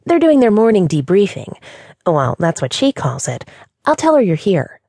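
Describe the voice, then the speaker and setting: A woman voicing a cheery young female receptionist who chatters fast in a high, breathy voice. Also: big pitch swings, a little mellow, dry recording, clean studio sound. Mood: bubbly. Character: bubbly young female receptionist, cheery but easily scared.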